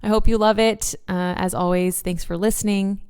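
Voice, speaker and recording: A woman's voice: mid-range voice, steady tone, moderate pace, darkish tone, clear, resonant tone. Woman host reading an upbeat podcast intro. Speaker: podcast host and classical musician.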